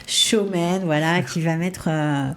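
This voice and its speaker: A woman's voice: fairly low pitch, fairly even intonation, slow pace. A woman interviewing guests in a casual, chatty style.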